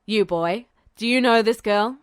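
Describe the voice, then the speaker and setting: A woman voicing a stern older male general in an audiobook story, coldly calm, clipped and commanding. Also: fairly high pitch, a little slow, smooth, dry recording, studio quality, close to an everyday voice. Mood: imperious, suspicious.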